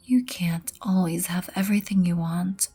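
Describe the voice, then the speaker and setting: A woman playing a proud, composed vampire queen in an ASMR roleplay, soft-spoken and breathy, with a poised, haughty, measured manner. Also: fairly low pitch, soft background music. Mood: wry, imperious. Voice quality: breathy, often near whisper. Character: regal, composed female vampire queen, proud and wryly merciful.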